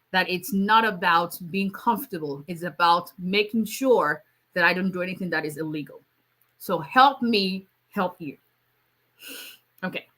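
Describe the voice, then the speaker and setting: Woman immigration attorney, bright voice, casual live Q&A. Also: on the low side. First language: Yoruba. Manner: conversational, many fillers, reads out viewer questions. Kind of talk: live Q&A stream.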